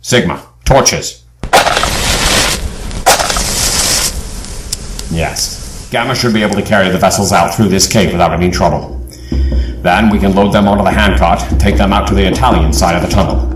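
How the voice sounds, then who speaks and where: Actor as a commanding, low-voiced team leader. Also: male voice, brisk, noisy background, natural voice. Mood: assured. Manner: authoritative, dramatic. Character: commanding, shrewd male leader of an adventurer team. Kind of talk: audio drama acting.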